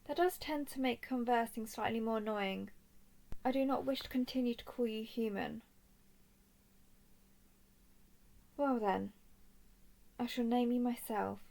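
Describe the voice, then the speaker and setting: A woman playing a young royal dragon in a roleplay audio drama, speaking formally, softly and deliberately as a composed, curious captor. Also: a little high, creaky. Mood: wry, composed. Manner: formal, deliberate, soft-spoken.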